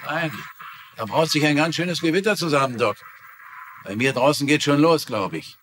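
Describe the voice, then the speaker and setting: An actor playing an earnest, plain-spoken rancher in a German-dubbed TV crime drama. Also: male voice, on the high side, expressive, normal speed, a bit bright, slight background noise.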